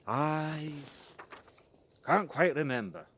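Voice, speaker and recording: Guy putting on a big gruffalo dad from a storybook, whispery and spooky, kinda loud then hushed. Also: on the high side, lively intonation, takes it slowly, a little hiss or hum, pitched above the performer's own voice.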